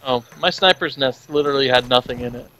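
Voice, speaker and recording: A man's voice: mid-range voice, fast, bright, forceful tone, poor audio. A man co-hosting a gameplay video with casual banter and commentary on the action. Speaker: gaming video co-host. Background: video game ambience.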